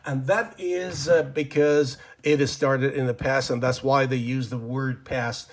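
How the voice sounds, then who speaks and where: A man's voice: medium pitch, fairly even intonation, a little slow. A man whose first language is Persian, teaching an informal English grammar lesson from his desk, rambling with many fillers and muttering to himself as he draws. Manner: rambling, lots of fillers and self-talk while drawing.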